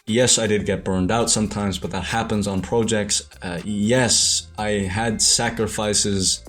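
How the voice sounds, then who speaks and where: A young man from Ireland muses aloud in a slightly low voice, stumbling and restarting his sentences as he reflects. Soft music sits faintly underneath him. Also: fairly even intonation, a little slow. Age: young adult. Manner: hesitant, rambling, many restarts and self-corrections. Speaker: animator and show creator. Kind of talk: reflective monologue to camera.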